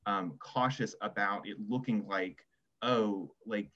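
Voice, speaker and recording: A man's voice: lowish voice, slow pace, fairly bright tone. A man talking casually and reflectively as a writer on a panel, hesitant and self-deprecating, over a lo-fi video call. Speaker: essay writer on a literary festival panel. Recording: dull, lo-fi video call audio.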